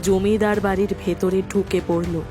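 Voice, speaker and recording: A woman's voice: medium pitch, little pitch movement, normal speed. A woman reading scripted narration for a dramatic story. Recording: music behind the voice.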